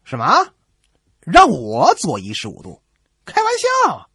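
A man's voice: on the high side, huge pitch swings, slow pace, sharp tone, husky, no echo, studio quality, heavily acted. A man voicing a defiant, indignant lighthouse signaller in a blustering, incredulous manner for a radio comedy sketch.

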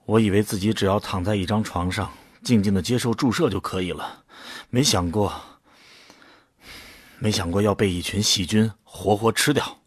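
A man's voice: lowish voice, moderate pace, slightly rough, dry recording, studio quality. An actor playing an earnest man who volunteers for a drug trial and tells his own story in a science fiction radio drama. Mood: frank, uneasy. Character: male first-person narrator, anxious but resolute drug-trial volunteer. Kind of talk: Chinese sci-fi radio drama acting and narration.

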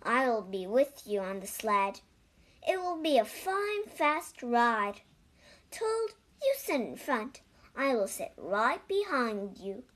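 A woman's voice: a little high, sing-song, slow pace, exaggerated voice. A woman voicing a cheerful, reassuring and slightly bossy male frog in a children's storybook. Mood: cheerful, coaxing.

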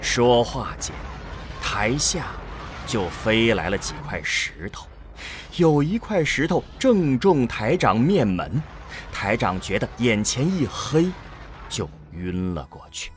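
A man's voice: mid-range voice, big pitch swings, takes it slowly, slight background noise. A man narrating a science fiction radio drama in a dramatic scripted storytelling style.

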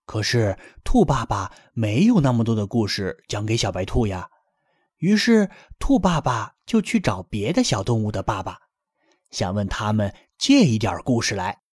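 A man reads a children's bedtime story with a gentle, lively telling and a very animated melody. His voice is a little husky. The recording is close and dry. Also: on the low side, normal speed, dry recording.

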